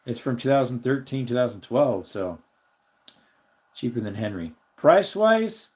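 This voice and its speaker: Raspy-ish guy doing a casual live stream, rambling through chat, thinking out loud. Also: mid-range voice, expressive, measured pace. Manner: rambling, thinks aloud while looking things up. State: slightly raspy voice.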